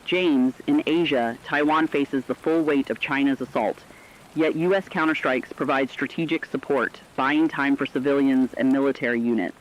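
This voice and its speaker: Guy doing a stern radio war reporter, declamatory, mid pitched, muffled lo-fi sound. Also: normal speed, natural voice. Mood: stern, resolute. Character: resolute male war correspondent on radio. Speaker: news correspondent. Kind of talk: scripted dramatic news narration.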